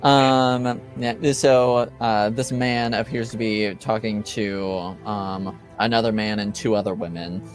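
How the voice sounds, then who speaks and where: A man with a lowish voice narrates a tabletop fantasy game as its game master. He talks slowly, hesitating often with ums and uhs as he describes the scene and calls for rolls. Faint music plays underneath. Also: steady tone. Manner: halting, full of ums and uhs.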